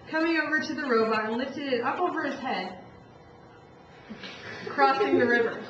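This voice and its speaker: A woman reading a story aloud as narrator of a puppet show, stumbling over and rereading some lines. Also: high voice. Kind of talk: live storytelling read from a script. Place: echoey room, recorded from far off. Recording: distant echoey room, muffled, noisy.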